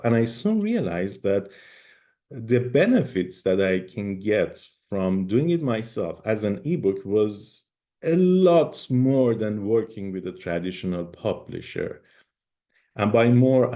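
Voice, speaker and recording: Low, mellow man lecturing with lively emphasis. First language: Persian. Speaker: guest speaker. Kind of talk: conference talk.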